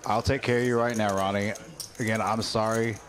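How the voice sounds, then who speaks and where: A man's voice: fairly low pitch, level tone, a little fast. A man producing and co-commenting on a live poker stream, talking in a rambling, chatty way and addressing viewers in chat from a production booth.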